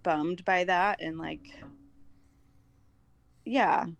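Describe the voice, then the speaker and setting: A woman's voice: fairly low pitch, extremely slow, creaky. A woman speaking as a podcast guest in an informal, hesitant chat, often dropping "like" into her sentences. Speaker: podcast guest, homeschooling mother. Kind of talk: casual chat.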